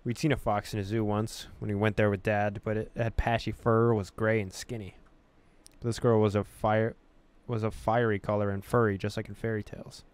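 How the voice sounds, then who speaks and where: A man hosting a gaming video, reading game text aloud in an expressive storytelling way with casual, amused asides. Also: fairly low pitch, normal speed, smooth.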